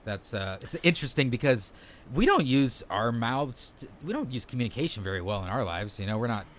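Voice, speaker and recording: A man's voice: mid-range voice, animated, a little fast, fairly bright tone. A man in his thirties co-hosting a podcast, chatting in a loose, candid, rambling way that often trails off. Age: 30s. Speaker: podcast co-host. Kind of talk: casual chat.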